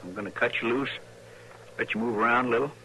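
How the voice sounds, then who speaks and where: An American actor playing a loyal frontier deputy in an old-time radio western, with folksy rural phrasing and a hesitant, rambling manner. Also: male voice, mid-range voice, fast talker, sharp tone, natural voice. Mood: stern, patient. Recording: noisy vintage radio recording.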